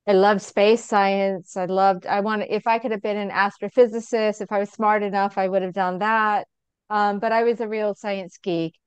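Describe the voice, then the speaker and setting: A woman's voice: mid-range voice, fairly even intonation, normal speed, clean tone, dry recording. A middle-aged woman with an American accent, a physician being interviewed, speaking earnestly with frequent fillers and easy laughter. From England. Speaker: guest, physician. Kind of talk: conversational interview answer.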